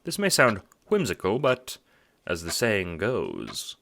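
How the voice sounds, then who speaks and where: A man voicing a calm, courteous, smugly sinister puppet-like host in an audio drama, speaking in a mannered, formal, condescending way. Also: on the low side, slow pace, creaky, heavily acted. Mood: smug musing. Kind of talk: theatrical audio drama narration.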